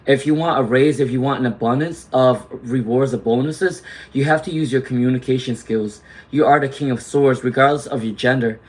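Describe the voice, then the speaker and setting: A man doing a live tarot reading, chatty and reassuring, clearing his throat often as he loses his voice to laryngitis. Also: mid-range voice, steady tone, a little fast, hardly any pauses, rough recording. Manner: chatty, reassuring, frequent "okay?" and "all right?" tags. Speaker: tarot reader; teacher.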